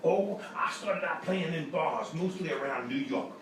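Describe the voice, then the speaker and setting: A man's voice: on the high side, lively intonation. Man playing an echoey, rambling old bluesman. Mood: genial rambling. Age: older. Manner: folksy, rambling, chuckling storyteller with halting repeats. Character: old rambling folksy Delta blues musician, genial and absurd. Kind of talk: live radio sketch comedy. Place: live show in a hotel room. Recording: echoey live stage, distant mic, noisy.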